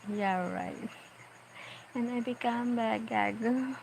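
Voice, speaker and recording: A woman in her forties with a Filipino accent, chatting casually on a livestream as she reads viewer comments aloud and answers them in a playful, unhurried way. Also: a little high, gravelly, a little mellow, a little hiss or hum, poor audio. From Philippines. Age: 40s. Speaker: vlogger on a late-night livestream.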